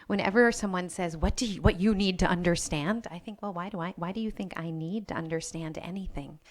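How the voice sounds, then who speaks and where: American woman rabbi, panelist, low-ish voice, reflective and personal, faint Israeli tinge. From United States. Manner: thoughtful, personal, measured. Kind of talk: panel talk to a live audience.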